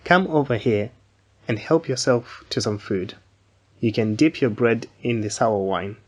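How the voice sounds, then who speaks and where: A man playing a kindly, authoritative landowner in a dramatised Bible reading, speaking in a measured, formal way. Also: mid-range voice, close to an everyday voice. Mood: kindly, protective. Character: Boaz, kindly wealthy landowner, authoritative and generous.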